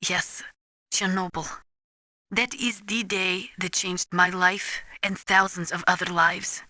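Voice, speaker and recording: A woman playing an earnest, resolute Ukrainian weapons analyst in a video game, speaking gravely and deliberately as if lecturing. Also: fairly low pitch, level tone, bright, forceful tone, no room sound, clean studio sound. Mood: somber, resolute. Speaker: military advisor briefing a soldier. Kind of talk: dramatic video game voice acting.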